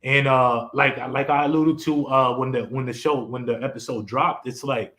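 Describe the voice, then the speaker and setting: A man's voice: medium pitch, steady tone, normal speed, bright, forceful tone. Young male streamer host, loose rambling delivery, echoey room sound. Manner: laid-back and rambling, with many fillers and restarts. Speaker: podcast host. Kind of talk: casual live-stream chat.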